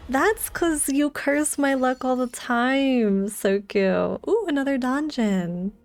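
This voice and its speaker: A woman casually chatting on a game livestream, playful and excitable, often repeating her phrases. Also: high-pitched, takes it slowly. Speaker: game streamer. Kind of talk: casual livestream chat while playing a gacha game.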